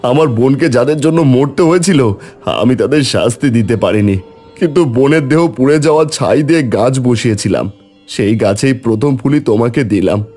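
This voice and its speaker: A man voicing a devoted, grieving village brother in an animated folk tale, earnest, emotional and halting. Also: medium pitch, husky, close to an everyday voice. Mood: grieving, prayerful.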